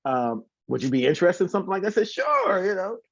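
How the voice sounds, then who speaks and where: Guy with a higher voice chatting casually, acts out quotes, muffled lo-fi call. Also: fast. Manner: animated, acts out quoted dialogue. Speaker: interview guest; singer and former radio host. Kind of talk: casual storytelling.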